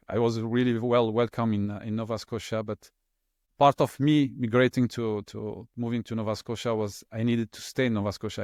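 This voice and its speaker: A middle-aged man whose first language is French, speaking as an interview guest in a relaxed, hesitant way with many fillers and restarts. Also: medium pitch, no room sound, studio quality. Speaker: interview guest, LNG specialist. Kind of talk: conversational interview.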